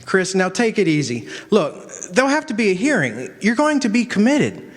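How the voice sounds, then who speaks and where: Man playing an animated, high-voiced lawyer. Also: normal speed. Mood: earnest, urgent. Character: earnest, quick-witted male defence lawyer. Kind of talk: stage radio drama acting. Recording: echoey hall, long reverb.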